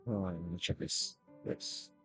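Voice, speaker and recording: A man's voice: deep voice, big pitch swings, normal speed, sharp tone, soft background music. A man streaming a video game, giving casual, muttered live reactions.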